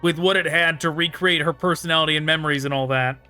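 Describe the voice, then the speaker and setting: High-pitched, bright-voiced man gaming. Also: steady tone, fast, clear, resonant tone, faint music behind. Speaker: gaming streamer. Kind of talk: casual let's play commentary.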